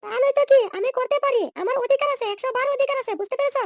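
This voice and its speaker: A man plays a scheming, quarrelsome young cartoon woman with an extremely high, squeaky voice. She scolds and threatens in slangy bursts at a racing pace, in a dry recording with no room sound. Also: rapid-fire, dry recording. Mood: furious. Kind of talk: comedy cartoon dialogue.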